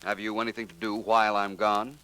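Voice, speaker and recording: An actor playing a suave, self-assured gentleman detective in a 1940s radio drama, speaking formally and articulately. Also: lowish voice, level tone, brisk, clean tone. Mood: brisk, deductive. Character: suave, cool-headed gentleman private detective.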